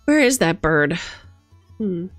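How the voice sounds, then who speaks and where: A woman's voice: fairly low pitch, big pitch swings, takes it slowly, no echo, faint music behind. A woman doing casual livestream commentary as host, playful and theatrical, mixing gushing exclamations with dry asides. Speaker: streamer hosting a live book look-through.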